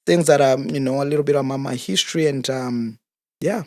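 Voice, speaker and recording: Guest, male, 30s, from Congo; earnest, easygoing talker, mid-pitched, dry close-mic sound. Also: no room sound. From Democratic Republic of the Congo. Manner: earnest, unhurried storytelling with fillers. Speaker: guest, soccer coach and organizer. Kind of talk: casual interview conversation.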